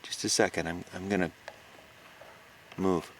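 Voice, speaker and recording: An actor playing a frightened reporter recording himself on a secret night stakeout in a horror audio drama, halting and stammering. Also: male voice, low-pitched, steady tone. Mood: tense, watchful. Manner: halting, stammering, gasping exclamations. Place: outdoors on a farm. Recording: noisy outdoor location recording.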